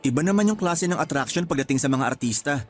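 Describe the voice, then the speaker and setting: A man's voice: on the high side, expressive, fast talker, faint music behind, close to an everyday voice. Sweet-talking young Filipino boyfriend, acted by a man. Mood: reassuring. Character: devoted, teasing young boyfriend, patient and reassuring. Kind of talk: radio drama acting, conversational Taglish.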